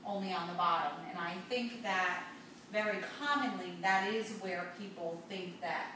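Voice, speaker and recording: A woman's voice: on the low side, moderate pace, pushed and bright. A young adult woman co-hosting a salon talk, explaining things in casual chat. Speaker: salon talk show co-host, hair stylist. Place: indoor hair salon seating area. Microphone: far camera. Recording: distant mic, echoey room, noisy background.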